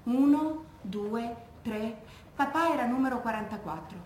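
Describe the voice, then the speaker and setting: An actress playing an educated, composed woman giving measured testimony about grief for her father in a theatre monologue. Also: on the high side, expressive, a little mellow. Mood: precise, sombre. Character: educated daughter recalling her father's wartime death, composed, grieving. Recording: echoey room with background noise.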